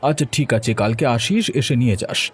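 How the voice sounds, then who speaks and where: A man's voice: medium pitch, big pitch swings, quick pace, slightly rough, some chatter behind. A man voicing a village man in a cartoon, with an emphatic, conversational manner. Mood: casual. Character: male villagers in a cartoon, bantering and quarrelling. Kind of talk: Bengali cartoon voice acting. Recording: faint music behind the voice.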